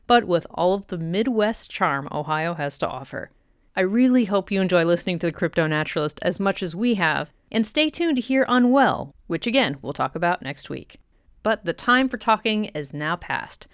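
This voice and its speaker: Woman, podcast host intro, friendly scripted, smooth, dry. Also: medium pitch, no echo.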